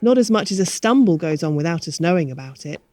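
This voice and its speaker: A woman's voice: on the low side, expressive, quick pace, darkish tone, no echo, close to an everyday voice. A woman playing a harried but polite manager of a retirement home in a radio play.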